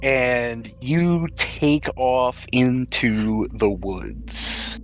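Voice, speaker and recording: A man's voice: mid-range voice, animated, extremely slow, fairly bright tone. A man acting as game master, narrating a tabletop role-playing game in a casual, joking, slangy way. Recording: music underneath. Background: background music.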